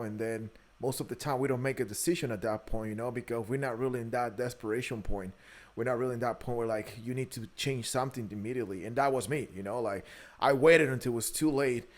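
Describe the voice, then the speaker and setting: A man in his early thirties with a Guatemalan Spanish accent speaks in a mid-pitched voice. He is a podcast guest sharing personal stories in a candid, conversational way. He often hesitates and repeats words as he searches for the next phrase. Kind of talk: conversational interview, personal storytelling.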